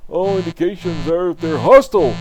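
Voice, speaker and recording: Comic-book military guard, male, lonely and clipped, voice lifted high performed by an older man. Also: normal speed, noisy background, lightly acted. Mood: alarmed. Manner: exclamatory, clipped military reporting. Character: lonely, bored male military outpost guard, startled into alertness.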